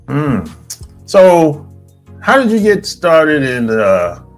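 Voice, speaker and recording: Guy hosting a podcast interview, talks in choppy halting bits, bit of music behind him. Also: a little high, big pitch swings. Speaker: podcast host. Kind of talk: interview chat with scripted sponsor read.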